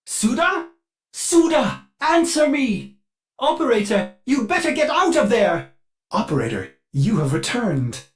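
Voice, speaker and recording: A man voicing an anxious, servile male ship computer in a video game, formal and halting, with synthetic processing. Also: extremely high pitch, very animated, heavily acted. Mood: urgent, alarmed. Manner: formal and obsequious, with halting stammers. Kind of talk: scripted video game character acting.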